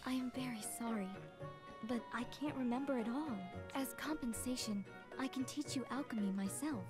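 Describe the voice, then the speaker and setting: A woman voicing a calm, formal, knowledgeable talking book that mentors an apprentice in an anime-style video game. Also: a little high, normal speed, natural voice. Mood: apologetic, confident. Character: composed, polite female talking magic book, knowledgeable mentor. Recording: music behind the voice. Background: game music.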